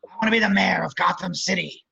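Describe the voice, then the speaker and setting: A man acting a crude, power-hungry grotesque villain with a raspy, creaky voice in an amateur script read. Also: sits high, quick pace, bright, forceful tone, dull audio, heavily acted. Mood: scheming, ambitious.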